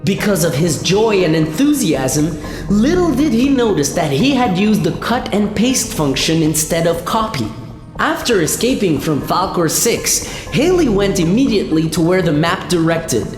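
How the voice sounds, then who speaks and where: A woman's voice: on the low side, very animated, moderate pace, darkish tone. A woman reading scripted narration for a comic science-fiction radio play. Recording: echoey, long reverb, music behind.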